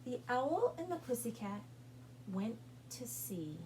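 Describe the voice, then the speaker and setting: Casting: woman children's storytime reader, higher-pitched, unhurried, sing-song and coaxing; noisy recording with a hum. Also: sing-song, a little mellow. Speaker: children's librarian reading aloud. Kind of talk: storytime read-aloud with sing-along for young children.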